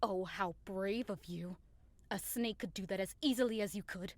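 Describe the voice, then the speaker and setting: A woman's voice: medium pitch, normal speed, no echo, natural voice. A woman playing a passionate, scornful young lover in an audio drama, with emphatic, dramatic delivery. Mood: angry, scornful. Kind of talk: theatrical audio drama acting.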